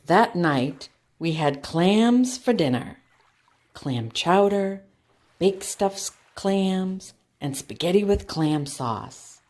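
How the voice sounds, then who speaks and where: A woman hosting storytime for young children, speaking in simple, deliberate phrases as she reads aloud or explains a craft. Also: fairly low pitch, animated, a little mellow. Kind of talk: picture-book read-aloud and craft demonstration for young children. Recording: roomy echo with faint background noise.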